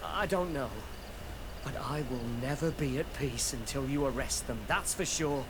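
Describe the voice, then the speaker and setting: An actor playing a frightened, cowardly informant in a video game, pleading and deferential in manner. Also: male voice, medium pitch, normal speed, background noise, natural voice. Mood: deferential, worried.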